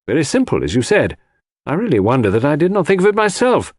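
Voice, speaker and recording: Man as a polite, skeptical gentleman sidekick, animated. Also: a little high, fast talker, no echo, pitched above the performer's own voice. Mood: self-assured. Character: loyal, self-assured English gentleman, the detective's skeptical companion. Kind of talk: audiobook character dialogue.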